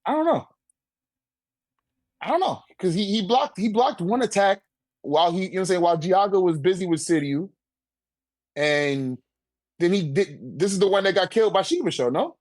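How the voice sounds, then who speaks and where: A man's voice: high voice, expressive, moderate pace, pauses often, darkish tone, no room sound. A man debating casually in profane slang, repeating his phrases for emphasis.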